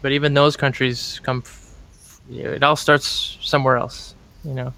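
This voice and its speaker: A man's voice: medium pitch, flat delivery, normal speed, creaky, bright, forceful tone, low-quality recording. A man in a casual conversation, making earnest, opinionated points and searching for words as he goes.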